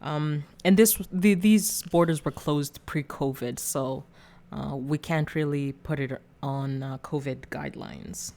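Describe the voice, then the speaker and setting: A woman's voice: low-pitched, unhurried, dark tone. A woman from Rwanda hosting her own channel, talking through political commentary in a hesitant way with many fillers and small giggles. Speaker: channel host. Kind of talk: casual political commentary video.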